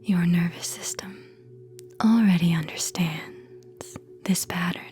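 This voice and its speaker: A woman whispers a guided sleep meditation in a lowish register. She moves extremely slowly, leaving long pauses between short, soothing, hypnotic phrases. It is intimate ASMR delivery throughout. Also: a little mellow, soft background music.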